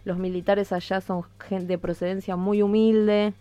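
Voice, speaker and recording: An Argentine woman giving a conversational radio interview as a musician, explaining things in a reflective, halting way. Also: mid-range voice, little pitch movement, warm tone, clear, resonant tone, lo-fi sound. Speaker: interviewed hip-hop musician.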